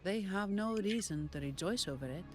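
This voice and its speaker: An actress playing a composed, businesslike young woman bodyguard and guide in a video game, speaking in a measured, formal, dutiful way. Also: medium pitch, expressive, natural voice. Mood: composed, informative. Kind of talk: scripted video game dialogue. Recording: game music under the voice.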